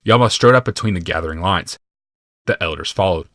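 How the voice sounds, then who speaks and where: A man narrating a fantasy audiobook from a script in a measured, deliberate and dramatic manner. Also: sits low, a bit bright, no echo.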